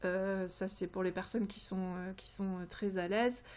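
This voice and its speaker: A woman presents creative ideas in an informal, unscripted way. She speaks haltingly, often searching for the right word as she explains each step. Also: mid-range voice, steady tone, darkish tone. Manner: hesitant, halting, searching for words. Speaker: creativity vlogger presenting tarot card ideas. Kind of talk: informal tutorial talk, unscripted.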